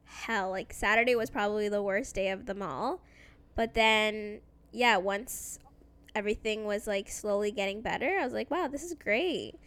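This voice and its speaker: A woman co-hosting a casual podcast chat, chatty and upbeat, her voice slightly hoarse from recovering from an illness. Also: mid-range voice, moderate pace, a bit bright. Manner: chatty, upbeat, lots of fillers and laughs. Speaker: podcast co-host.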